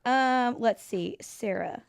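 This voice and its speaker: A woman's voice: a little high, slow pace, gravelly, no echo. A woman chatting casually and giving opinions about a reality TV show.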